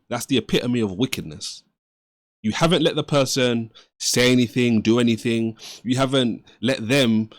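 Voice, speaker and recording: A man commenting on a clip in an earnest, moralizing and measured way. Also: medium pitch, dry recording, studio quality. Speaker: reaction commentator. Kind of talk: reflective reaction commentary.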